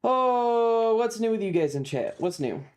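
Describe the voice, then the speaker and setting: A man's voice: sits high, expressive, a little slow, clean tone, faint music behind. A man live streaming a video game in a casual, chatty way, sarcastic and exasperated, often reading game text in a dismissive manner.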